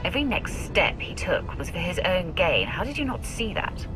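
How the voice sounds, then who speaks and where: A woman's voice: on the low side, sing-song, moderate pace, rough recording. A woman voicing a suspicious Sith warrior heroine in a video game, with a pointed, probing delivery. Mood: probing, skeptical. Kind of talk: video game cutscene acting. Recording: music behind the voice.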